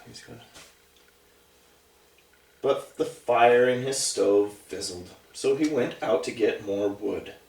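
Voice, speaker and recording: A man's voice: medium pitch, vocal fry. A man reading a picture book aloud to young children, conversationally, with chatty asides and questions to the listeners. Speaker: storybook reader. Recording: roomy echo, distant mic.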